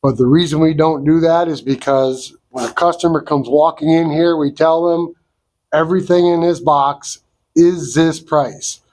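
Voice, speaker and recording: Middle-aged male vlog host with a head cold, unhurried plain-spoken delivery, slightly raised pitch, dry close sound. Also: steady tone, a little mellow, no room sound. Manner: slow, folksy, down-to-earth explaining. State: congested from a cold. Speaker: sawmill owner and channel host. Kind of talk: casual outdoor vlog walkthrough. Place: wood shed at a sawmill.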